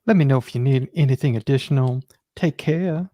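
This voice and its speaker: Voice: medium pitch, a little fast, mellow, no echo, studio quality. A co-host chatting casually and reading short email messages aloud.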